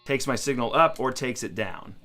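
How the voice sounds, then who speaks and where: A man's voice: medium pitch, animated, moderate pace. A man talking casually as he demonstrates guitar gear in a tutorial.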